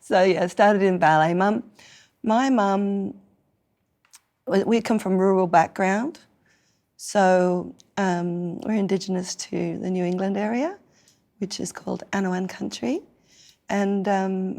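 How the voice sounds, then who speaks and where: An Australian woman from Newcastle, hoarse and croaky after singing sick the night before, telling stories playfully in a casual interview. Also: mid-range voice, darkish tone. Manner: playful, theatrical storyteller with frequent laughs. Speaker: guest performer.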